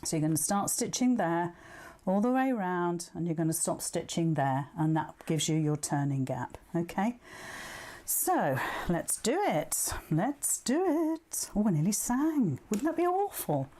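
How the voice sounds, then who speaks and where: A woman's voice: on the low side, lively intonation, measured pace, dark tone. A woman giving a chatty live craft demonstration as a sewing tutor, bantering self-deprecatingly with viewers and talking through each step. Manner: talks aloud through each step, checking with okay. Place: indoors at a sewing machine.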